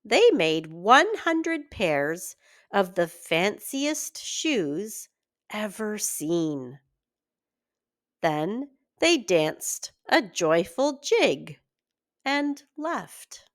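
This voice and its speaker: Very slow, animated woman reading a fairy tale. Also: mid-range voice. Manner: expressive, deliberate storytelling for young children. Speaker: children's story reader, teacher. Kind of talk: read-aloud children's fairy tale narration.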